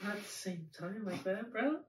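A man's voice: sits high, moderate pace. A man in casual podcast banter, talking in slang and swearing heavily. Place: echoey room, away from the mic. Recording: roomy, distant mic, faint noise.

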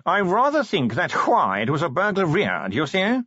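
A man's voice: high-pitched, huge pitch swings, sharp tone, breathy edge, no echo, pitched above the performer's own voice, full character voice. A man voicing a posh, fussy male art curator who drawls as if yawning. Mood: plaintive. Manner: slow and plaintive. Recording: dull lo-fi recording.

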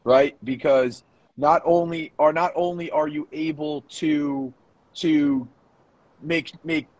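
High-voiced, husky man talking sport. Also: fairly even intonation, slow, darkish tone, low-quality recording. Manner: profane, emphatic, repeats phrases. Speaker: podcast co-host, powerlifting coach. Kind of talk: casual sports talk.

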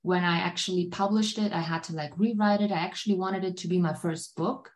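Woman in her 20s, lowish voice, rambles with tons of "like"s. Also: steady tone, fairly quick. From Germany. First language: German. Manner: rambling, filler-heavy storytelling with many "like"s. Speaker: guest author. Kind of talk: casual podcast chat.

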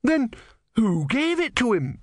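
A man's voice: on the high side, sing-song, normal speed, fairly bright tone, no echo, studio quality, pitched above the performer's own voice. A man voicing an earnest, devout young believer who is insistent and easily outraged, in a storybook reading. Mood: shocked, angry. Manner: insistent, indignant, pious.

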